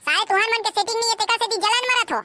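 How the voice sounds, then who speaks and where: A man voicing a hot-headed, lovestruck young village man in a comedy cartoon, with a Chhattisgarhi accent and a shrill, blustering, slangy delivery. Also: fast talker. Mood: defiant.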